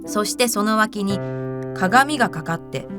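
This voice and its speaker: A woman reading a fairy tale as an expressive, scripted storyteller. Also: mid-range voice, measured pace. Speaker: narrator, stage actor. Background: music.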